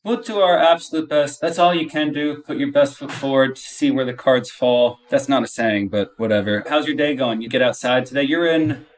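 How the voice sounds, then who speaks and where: Male podcast host, informal and chummy interviewer, mid-pitched, a little off the mic. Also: normal speed, hardly any pauses, recorded from a distance. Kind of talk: casual interview chat.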